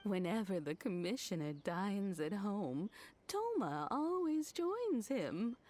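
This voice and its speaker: Slow-spoken, gracious old woman. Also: a little high, exaggerated voice. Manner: polite, affectionate, gently effusive. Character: kindly old female household servant, warm and gracious. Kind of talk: video game voice acting.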